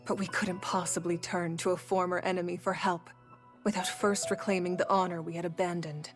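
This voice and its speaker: A woman's voice: lowish voice, level tone, fairly quick, over music, close to an everyday voice. Woman acting proud, solemn desert warrior. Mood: solemn, pleading. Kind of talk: video game cutscene acting.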